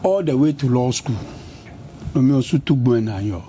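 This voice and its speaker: Middle-aged Ghanaian man, an interview guest with a mellow tone, recorded with a little background noise. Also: mid-range voice, normal speed. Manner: switches between English and Ga, lists places at an even pace. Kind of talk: conversational interview.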